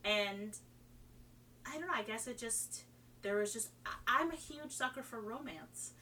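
A woman's voice: a little high, a little slow, pushed and bright. A woman from Trinidad who moved to America as a child, chatting casually as a guest in an enthusiastic, rambling way that often restarts mid-thought. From Trinidad, moved to America at age five. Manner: rambling, enthusiastic, self-interrupting. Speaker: podcast guest. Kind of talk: casual chat about fandom. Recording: distant mic, faint noise and hum.

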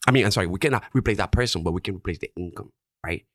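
Earnest Dominican-accented man, deep voice. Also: racing, dry recording, clean studio sound. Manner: earnest, repeats "right?" often. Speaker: insurance agency owner. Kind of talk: podcast interview.

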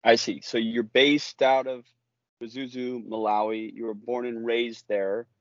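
A man's voice: medium pitch, little pitch movement, unhurried, no room sound, lo-fi sound. A man hosting a religious interview, opening with a preacherly reflection, then asking questions earnestly. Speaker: host and interviewer. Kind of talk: sermon-like intro then interview.

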